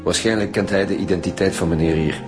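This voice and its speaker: An actor playing a brisk, authoritative police detective team leader in a radio drama, with a Flemish accent. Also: male voice, deep voice, steady tone, music in the background, close to an everyday voice. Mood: businesslike. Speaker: lead detective.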